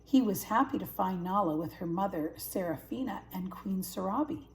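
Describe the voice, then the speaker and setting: A woman's voice: mid-range voice, moderate pace, slight background noise. An older woman, a grandmother, narrating a children's story. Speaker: grandmother reading stories.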